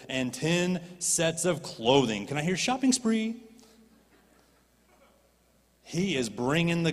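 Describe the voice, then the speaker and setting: A man preaching from a church stage, his voice sitting a little high for a man. He is animated and swings up into impassioned pleading. His words carry a long hall reverb. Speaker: pastor. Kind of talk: sermon.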